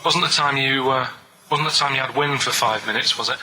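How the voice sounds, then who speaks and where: A protesting working-class lad, played by a man in his own voice in an amateur film skit. The voice is sharp and bright, the delivery plain and unpolished. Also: medium pitch, a little fast, low-quality recording. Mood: chatty, defiant. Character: defensive working-class boy who likes ballet.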